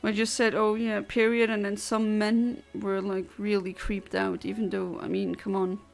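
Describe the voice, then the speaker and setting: Woman, gravelly fry, blunt and literal, casual streamer chat. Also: mid-range voice, level tone, normal speed, soft background music. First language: Luxembourgish. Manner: blunt, literal and chatty, with many restarts. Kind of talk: casual chat answering a livestream chat.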